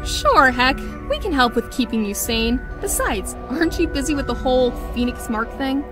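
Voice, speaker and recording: A young mage in a fantasy visual novel. She is high-pitched and very expressive, with a casual, blunt, caring bossiness. Faint mallet music plays behind her. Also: normal speed. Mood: skeptical, curious. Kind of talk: visual novel character acting.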